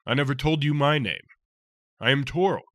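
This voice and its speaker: A man voicing a giant, gentle, talkative male soldier who speaks in hearty, rough folksy phrases. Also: medium pitch, moderate pace, no room sound, clean studio sound, voice pushed up, lightly acted. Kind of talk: audiobook character voice.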